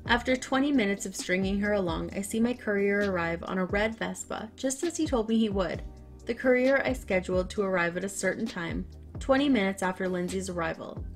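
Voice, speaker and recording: A woman reading online stories aloud, then reacting to them with loud, animated outbursts. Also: mid-range voice, a little fast, faint music behind. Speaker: story narrator.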